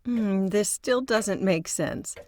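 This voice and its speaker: A woman playing a measured, analytical psychotherapist in an audio drama. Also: medium pitch, slight background noise, close to an everyday voice. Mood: calm, probing. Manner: calm, probing, analytical. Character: measured, probing female psychotherapist.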